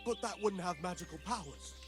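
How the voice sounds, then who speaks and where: A man's voice: high voice, animated, fairly quick, loud music behind the voice. A man playing an eager, gullible medieval villager in a game, earnest and faltering as he talks.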